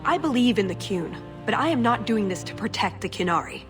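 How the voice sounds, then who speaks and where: A woman plays a loyal young elf agent in a fantasy video game. She talks in a mid-pitched voice with an earnest, wistful, conversational manner. Some music sits behind her. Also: animated, fairly quick, close to an everyday voice. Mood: solemn, heartfelt. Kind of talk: dramatic video game cutscene acting.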